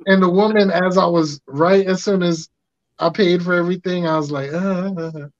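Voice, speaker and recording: A man in his thirties with a raspy voice, hosting a podcast and telling stories in casual chat, acting out quotes. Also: high-pitched, moderate pace, dry recording. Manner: animated, acts out quotes in funny voices. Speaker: podcast host. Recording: dry muffled lo-fi sound.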